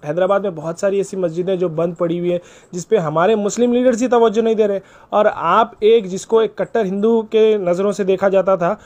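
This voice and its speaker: A man's voice: high voice, fairly even intonation, brisk, few pauses, a little mellow. A man making an earnest, persuasive appeal on a recorded phone call, polite and deferential, repeating himself for emphasis. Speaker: caller.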